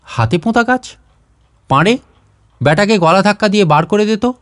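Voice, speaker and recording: A man's voice: high-pitched, expressive, moderate pace, a bit bright, no room sound, clean studio sound, voice pushed up. A man voicing an irritable, contemptuous landlord's steward in a storybook reading, scolding and cursing haughtily. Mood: angry.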